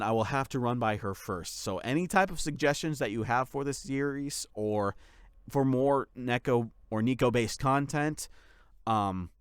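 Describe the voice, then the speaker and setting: Animated, rambling man giving a casual update. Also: medium pitch, clear, resonant tone, no room sound, clean studio sound. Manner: rambling, halting, often restarting sentences. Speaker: channel creator and voice actor giving a series update. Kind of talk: casual unscripted update talk to followers.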